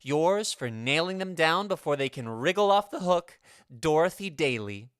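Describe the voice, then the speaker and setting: Woman as an eager, low-voiced teenage schoolboy. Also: expressive, normal speed, no room sound, clean studio sound. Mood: theatrical reading. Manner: conspiratorial, grandly declaiming. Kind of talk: vintage radio comedy acting.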